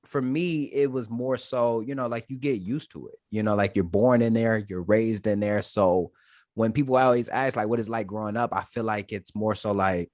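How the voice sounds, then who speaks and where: A young man from Detroit, a podcast guest telling his story in casual, animated, slangy chat. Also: on the low side, normal speed, no room sound, studio quality. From Detroit, Michigan, USA. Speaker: podcast guest, Marine staff sergeant.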